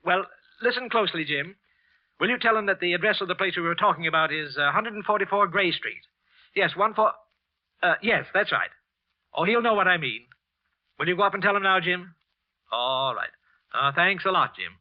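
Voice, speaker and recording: A man acting a guilt-ridden, jittery office worker confessing to murder in halting, tense phrases, in the narrated style of 1940s radio drama. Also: sits high, animated. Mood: nervous, falsely casual. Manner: halting, tense, broken phrases with repeated words. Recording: dull old-time radio recording with music.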